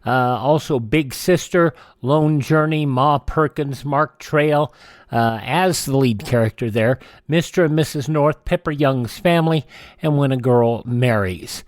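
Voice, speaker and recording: Halting male radio show host. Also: medium pitch, no echo, studio quality. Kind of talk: informal presenting of radio history.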